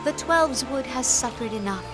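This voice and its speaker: A dignified forest leader over swelling music. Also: female voice, sits high, expressive. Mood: earnest, entreating. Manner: formal, measured, earnest and courtly. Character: gentle, dignified female leader of a forest nation. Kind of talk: scripted video game voice acting. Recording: loud music behind the voice.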